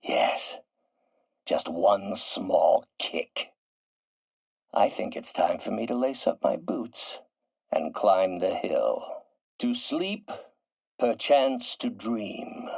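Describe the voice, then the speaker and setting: An actor voicing a grandiose, middle-aged scientist turning villain in a video game, theatrical and erudite, with precise enunciation. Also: male voice, fairly low pitch, animated, very slow, vocal fry, pauses often, slightly rough, no room sound, full character voice. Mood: brooding resolve. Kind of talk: video game voice acting, dramatic monologue.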